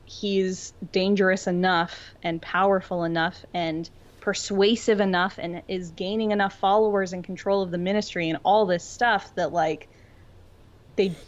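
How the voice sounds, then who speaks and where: Low-pitched woman, slightly dull audio. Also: steady tone, measured pace. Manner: informal, earnest, thoughtful. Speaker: podcast co-host. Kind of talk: casual discussion of fiction.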